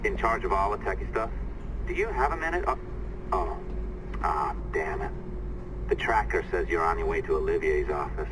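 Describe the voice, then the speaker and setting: Lowish, fumbling IT guy. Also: expressive, background noise. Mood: nervous, friendly. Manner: halting, fumbling. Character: awkward, hesitant, nerdy IT technician. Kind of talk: video game dialogue.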